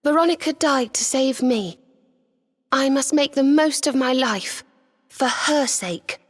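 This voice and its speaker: An actress playing a gentle, polite, grieving young woman mage in a fantasy game, earnest and British-accented. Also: high voice, takes it slowly. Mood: resolute, tender. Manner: soft-spoken, polite, earnest. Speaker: video game character actor. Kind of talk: dramatic cutscene acting.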